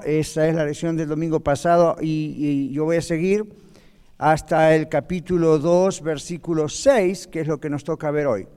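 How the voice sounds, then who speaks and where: A man leads a church Bible class, chatting with the room and pausing to ask if everyone follows before he reads the passage aloud. His voice sits fairly high yet sounds mellow, and a long hall reverb trails behind each phrase. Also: smooth. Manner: conversational, checks in with "¿ok?". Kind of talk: Bible class teaching and scripture reading.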